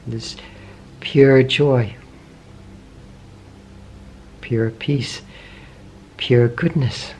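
Soft-spoken man guiding a meditation. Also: mid-range voice, fairly even intonation. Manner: slow, soft, gentle questioning with long pauses. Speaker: meditation teacher. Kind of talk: guided meditation. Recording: faint background noise with meditation music.

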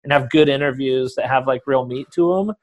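A man's voice: medium pitch, a little fast. A young man hosting a casual interview over a video call, talking in a chatty, rambling way with restarts. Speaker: podcast host. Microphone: headphones on video call.

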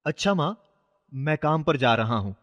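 A man's voice: a little high, expressive, moderate pace, fairly bright tone. A man voicing a poor, earnest young boy, a devoted son, in a cartoon story. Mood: cheerful. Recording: muffled, with long reverb.

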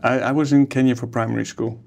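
A man in his forties, a plastic surgeon, telling his life story in a relaxed interview, speaking easygoingly with many hesitations into a table microphone. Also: mid-range voice, fast, creaky. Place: ornate room, seated interview. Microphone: studio microphone on table.

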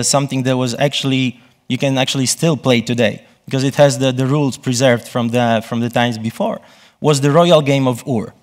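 Halting male presenter. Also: mid-range voice. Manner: halting, self-correcting delivery. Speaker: conference speaker. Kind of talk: conference presentation. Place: on stage in a conference hall.

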